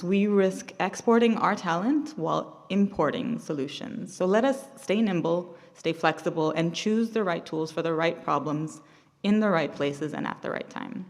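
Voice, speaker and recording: A woman delivering a prepared, rhetorical debate speech against her opponents. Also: deep voice. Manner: rhetorical, measured, persuasive. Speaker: debater for the opposition. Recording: reverberant hall.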